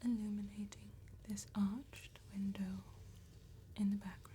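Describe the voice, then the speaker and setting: A woman playing an elegant, calm vampire tattoo artist in a soft-spoken, hushed and deliberate ASMR roleplay. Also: medium pitch, slight background noise, lightly acted. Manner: hushed, unhurried, deliberate phrasing.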